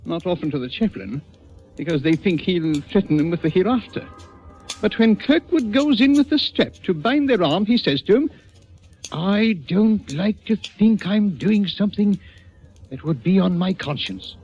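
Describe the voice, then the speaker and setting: A fretful, defensive male prison governor, high-pitched and very animated. He talks emphatically, like vintage radio drama, on a muffled recording. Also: moderate pace, husky, soft background music, exaggerated voice. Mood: troubled, insistent. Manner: agitated, emphatic, officious. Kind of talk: old-time radio drama acting.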